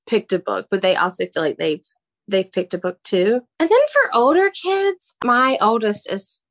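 A woman in her thirties, a former teacher and mother, answering interview questions in an earnest, animated way. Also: mid-range voice. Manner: earnest and animated, warm emphasis on key phrases. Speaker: guest, former teacher and mom.

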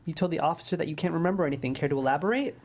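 A woman's voice: deep voice, very fast, darkish tone. A woman playing a wry, teasing police detective partner in a table read of a TV script. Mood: firm.

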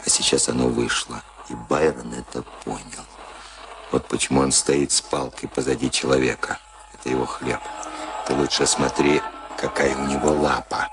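An actor playing a cynical, streetwise prison camp convict in a Soviet radio play, commanding and sardonic in coarse street talk. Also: male voice, rumbling low, fairly even intonation, moderate pace. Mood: cynical, menacing. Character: cynical, streetwise camp convict Tim, bullying boxing-match organiser.

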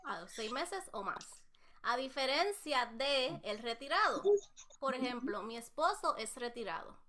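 Woman, high voice, podcast co-host, chatty. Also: lively intonation, unhurried, pushed and bright. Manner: chatty, explaining to listeners.

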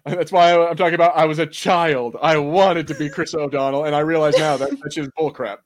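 Guy with kinda higher voice riffing on a movie, casual podcast cohost chatter. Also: fairly even intonation, brisk. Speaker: podcast co-host. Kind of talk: casual watch-party banter and commentary.

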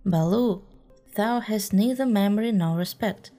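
Woman reader as a scolding male panther, dark-toned and deliberate. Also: mid-range voice, faint music behind, close to an everyday voice. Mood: impatient. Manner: scolding, impatient.

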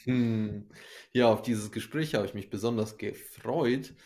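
A man's voice: fairly low pitch, unhurried, darkish tone. A man from Ukraine hosting a podcast, speaking in an earnest, personal way to listeners and his guest. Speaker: podcast host. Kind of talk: conversational podcast introduction and interview.

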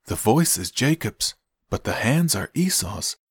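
A man voicing an old, frail father in a Bible story, solemn and deliberate as he declaims. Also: fairly low pitch, big pitch swings, breathy edge, no room sound, voice pushed up, full character voice. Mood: doubtful, probing. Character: old frail solemn father, a biblical patriarch blessing sons.

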